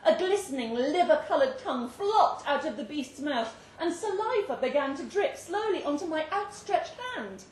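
A British woman reading a literary short story aloud at a live event, with expressive, measured and dramatic phrasing. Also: high-pitched. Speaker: story reader. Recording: roomy live venue with faint background noise.

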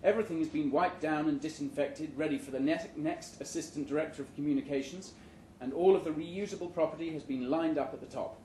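Male live fiction reader, deadpan comic timing, lively intonation, recorded in an echoey room with faint background noise. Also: a little high, brisk. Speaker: reader of a short story at a live event. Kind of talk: live dramatic short story reading. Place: indoor room at a live literary event.

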